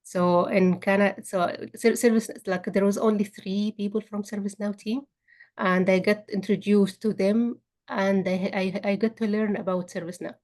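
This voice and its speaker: A woman's voice: mid-range voice, steady tone, normal speed. A woman, speaking at a meetup about her career, often restarting and repeating words. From Egypt. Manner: frequent restarts and repeated words, warm laughing asides. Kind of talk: personal career story talk.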